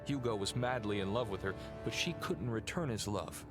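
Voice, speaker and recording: A man's voice: on the low side, expressive, normal speed, close to an everyday voice. An actor playing an earnest, mournful male heir of a cursed family in a video game, explaining things solemnly. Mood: grave. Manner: earnest, expository. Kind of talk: video game cutscene acting. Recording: loud music under the voice. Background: music.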